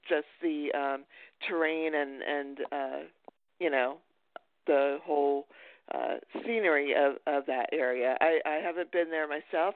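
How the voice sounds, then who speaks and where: A man with a slightly high voice speaks over a thin, phone-like connection with very little room sound. He chats casually and haltingly as an interviewer, rambling from one thought to the next. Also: no room sound. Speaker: podcast host.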